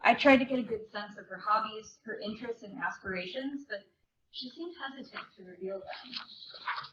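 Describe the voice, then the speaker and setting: High-voiced woman presenting at a live event. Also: normal speed, a bit bright. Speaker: presenter and reader at library event. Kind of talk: live dramatic reading of memoir. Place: indoor event before an audience. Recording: dull live recording, noticeable room noise.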